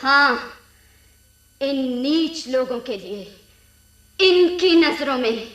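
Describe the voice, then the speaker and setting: An actress playing a young ghost woman in a radio drama, pleading and bitter, with a breathy, dramatic delivery that drops into whispers. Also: high-pitched, animated, slow, a bit bright, full character voice. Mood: bitter, anguished. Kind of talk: Hindi radio play acting.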